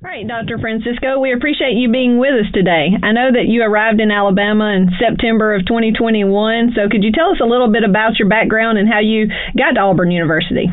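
Brisk woman interviewer on a tinny line. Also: on the high side. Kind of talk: interview questions. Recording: tinny phone-like audio.

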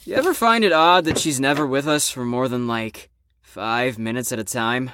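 A woman's voice: rumbling low, normal speed, fairly bright tone, slight background noise. A woman playing a cocky, crude, defiant wisecracking patient in an audio drama, brash and mocking. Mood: casual.

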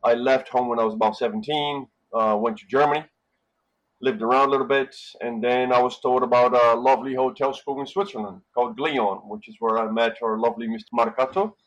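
A man's voice: mid-range voice, normal speed, dull audio. Brazilian-born man telling hotel stories. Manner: relaxed storytelling with frequent fillers and "right?". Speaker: hotel manager, guest. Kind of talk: casual interview conversation.

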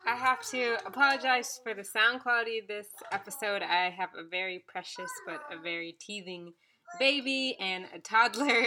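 A woman's voice: medium pitch, pushed and bright, a little hiss or hum. A woman from the Bahamas hosting her own podcast from home, talking in an earnest, reflective, conversational way. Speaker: podcast host. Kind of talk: casual solo podcast talk with a read-aloud quote. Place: home, recorded around napping children.

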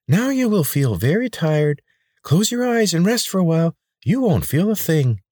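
A man putting on a squeaky nasal pudgy healer. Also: very animated, moderate pace, mellow, no room sound, clean studio sound. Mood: wheedling. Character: oily pudgy male healer, wheedling, nasal.

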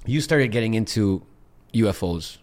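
Low-voiced young man co-hosting a podcast. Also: expressive, moderate pace, darkish tone. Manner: hyped, laughs often, eggs the guest on. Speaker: podcast co-host. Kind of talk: casual podcast chat.

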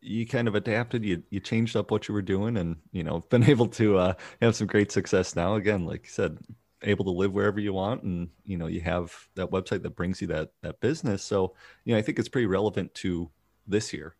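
A man's voice: sits low, brisk, a little mellow, no room sound, clean studio sound. A man hosting a podcast, speaking hesitantly and filling his sentences with "you know" and "um". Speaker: podcast host. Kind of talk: casual podcast interview.

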